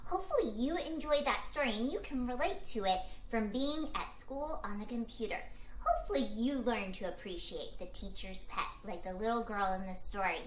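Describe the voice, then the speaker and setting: A woman's voice: fairly high pitch, normal speed. A woman hosting a children's read-aloud, addressing young listeners directly in a chirpy, scripted way. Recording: echoey room, background noise.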